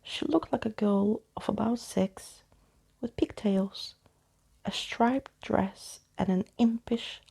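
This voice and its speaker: A woman reading a story aloud in a soft ASMR style, hushed and often half-whispering. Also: medium pitch, steady tone, slow pace, vocal fry, husky.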